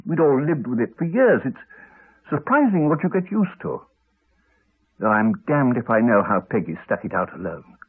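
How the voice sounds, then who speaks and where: An actor playing a courteous, wry English country doctor, middle-aged, with a hesitant, chatty manner in a 1980s radio drama. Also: male voice, medium pitch, big pitch swings, normal speed, slightly rough. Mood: hesitant, serious. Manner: hesitant, chatty, clipped phrases. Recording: slightly dull old radio recording.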